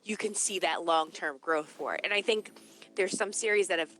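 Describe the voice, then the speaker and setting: A woman's voice: on the low side, normal speed, fairly bright tone, faint music behind. A woman in a casual livestream discussion, explaining her analysis earnestly and at length.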